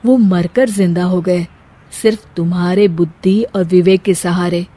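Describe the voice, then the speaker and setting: A woman's voice: lowish voice, fairly even intonation, unhurried, warm tone. A woman playing a simple, humble young husband in a cartoon story, speaking in an earnest, self-deprecating way. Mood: grateful, earnest.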